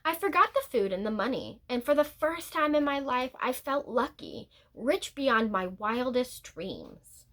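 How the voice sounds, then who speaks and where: Slow, expressive woman reading a storybook aloud. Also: high-pitched. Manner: deliberate, expressive storytelling with drawn-out words and pauses. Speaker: read-aloud teacher. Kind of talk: picture-book read-aloud, scripted narration with brief asides.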